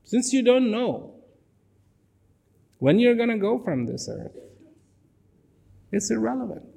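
Guy giving a slow talk to a crowd, voice swoops up and down a lot, says things twice. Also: high voice, sing-song, gravelly, dark tone, breathy edge. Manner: repetitive, emphatic, drops to near whispers. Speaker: speaker. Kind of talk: slow reflective talk to an audience.

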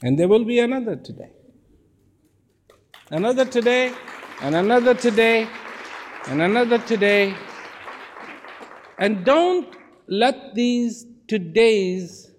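A man's voice: very high-pitched, expressive, takes it slowly, long pauses, some chatter behind, slight background noise. A man giving a reflective talk to an audience, repeating phrases for emphasis and dropping at times to a near whisper. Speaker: speaker.

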